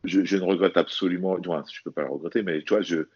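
A man's voice: deep voice, fairly even intonation, fast talker, a little mellow, highs cut off. A man from French Guiana speaking casually and earnestly about himself as an interview guest, often repeating his words. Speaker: interview guest, athlete and bodybuilder. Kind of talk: casual personal testimony in conversation.

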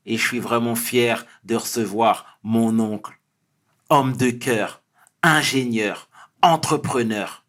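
A male talk show host with an average-pitched voice. He welcomes guests in an upbeat way and drops casual English phrases into his lines. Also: fairly even intonation, takes it slowly, fairly bright tone.

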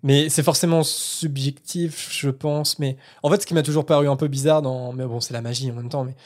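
A man co-hosting a podcast, thinking out loud in casual discussion. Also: mid-range voice, fairly even intonation, fairly quick. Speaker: podcast co-host.

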